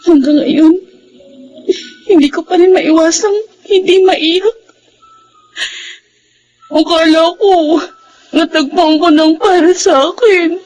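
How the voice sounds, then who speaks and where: A woman's voice: very high voice, fairly even intonation, takes it slowly, pauses often, darkish tone, soft background music, low-quality recording. An actress playing a heartbroken but grateful young single mother in a Filipino radio drama, with a Filipino accent. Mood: sorrowful. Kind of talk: Filipino radio drama acting with first-person narration.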